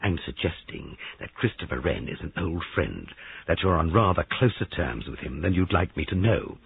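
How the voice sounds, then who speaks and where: A man's voice: low-pitched, big pitch swings, normal speed, gravelly, slight background noise, exaggerated voice. A man voicing a jealous, angry husband in an audiobook story, curt, domineering and accusing. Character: Giles, jealous angry husband, gruff and commanding. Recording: narrow-band lo-fi radio-like sound.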